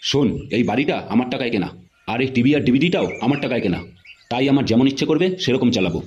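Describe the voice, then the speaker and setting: A man's voice: medium pitch, expressive, racing, darkish tone, close to an everyday voice. A man voicing a stubborn, scolding cartoon father who is bossy and combative, with a West Bengali accent. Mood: defiant. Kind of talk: comedy cartoon dialogue. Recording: dull lo-fi sound, faint noise.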